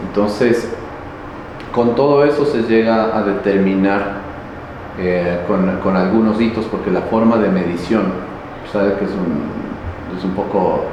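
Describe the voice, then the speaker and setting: A man explaining local history in an interview, pausing often with fillers and restarts. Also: on the low side, lo-fi sound. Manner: halting, many fillers and restarts. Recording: very echoey, noisy, reverberant space.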